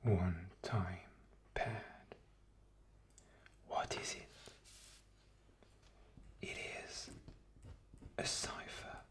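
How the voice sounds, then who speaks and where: A man whispering a deliberate ASMR explanation as a guest presenter, in short, halting phrases. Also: sits low, steady tone.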